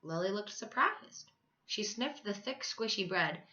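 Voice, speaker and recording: A woman reads a children's storybook aloud like a teacher to her pupils. Her voice is bright and very lively in pitch, with emphatic, stretched words. The recording is a little dull and lo-fi. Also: measured pace.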